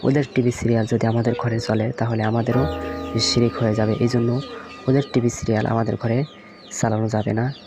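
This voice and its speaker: A man's voice: mid-range voice, level tone, fairly quick, natural voice. A man voicing a pious, stern uncle in a religious cartoon, admonishing his nephew in a didactic way. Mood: preaching, stern. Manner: didactic, questioning. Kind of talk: scripted religious cartoon dialogue and preaching. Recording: music behind the voice.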